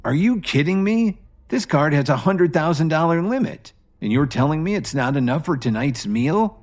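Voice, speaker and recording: Male reader voicing a brash drunk young man, raised pitch, loud indignation. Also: wildly swooping pitch, unhurried, heavily acted. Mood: outraged.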